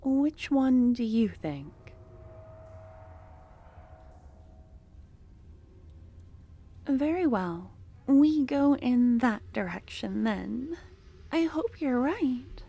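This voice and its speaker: A young woman voicing an obsessive, possessive female cultist in an ASMR roleplay. She talks in a soft, close murmur that drops into whispers, and her tone is doting and unsettling. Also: high-pitched, lively intonation, takes it slowly, vocal fry, full character voice. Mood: urgent, hopeful.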